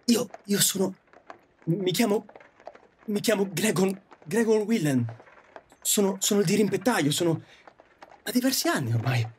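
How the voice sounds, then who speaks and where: A man playing an awkward, earnest young neighbour in love, halting in his speech, in an audio drama. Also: sits high, lively intonation, slight background noise. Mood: flustered. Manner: stammering, halting.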